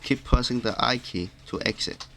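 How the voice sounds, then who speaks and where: A man narrating a step-by-step tutorial in a plain, instructional way, halting from phrase to phrase. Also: mid-range voice, fairly even intonation, fairly bright tone. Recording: clearly noisy background.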